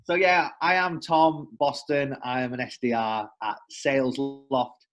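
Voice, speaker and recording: A man's voice: medium pitch, fairly even intonation, measured pace. A young man from the north of England with a northern English accent, chatting as a podcast guest from home over a remote call, friendly and a little self-deprecating. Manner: chatty, self-deprecating, repeats words as he thinks. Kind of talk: casual podcast chat.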